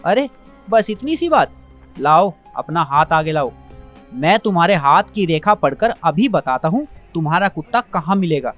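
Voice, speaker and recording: A man's voice: a little high, very animated, moderate pace, a bit bright, muffled recording. A man voicing a clever, lazy, carefree village lad in a cartoon, breezy and self-assured. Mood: confident. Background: background music.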